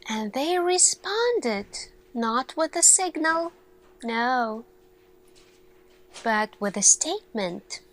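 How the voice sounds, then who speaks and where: A woman playing an earnest, thoughtful lead scientist in an ASMR roleplay, speaking in a hushed, deliberate way with pauses and searching questions. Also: high voice, very animated, full character voice. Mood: grave, wondering.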